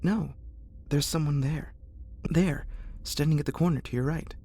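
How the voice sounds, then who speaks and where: A man's voice: on the high side, steady tone, moderate pace, mellow, voice pushed up. A man voicing a worried, insistent mother in her sixties, raised and urgent, in an ASMR horror story.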